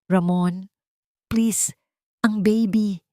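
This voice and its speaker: A woman's voice: medium pitch, steady tone, drawn-out words, warm tone, no echo, clean studio sound, pitched above the performer's own voice. A woman voicing a desperate, weak pregnant young wife who pleads in an audio drama. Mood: weak pleading. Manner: pleading, exhausted.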